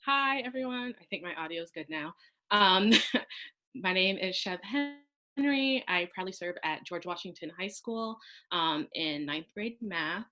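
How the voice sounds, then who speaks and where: A woman's voice: fairly low pitch, expressive, a little slow, pushed and bright. A young woman from Jamaica introducing herself cheerfully on a panel. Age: young adult. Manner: cheerful, lightly laughing. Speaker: panelist, service member. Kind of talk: casual introduction on a video call. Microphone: video call.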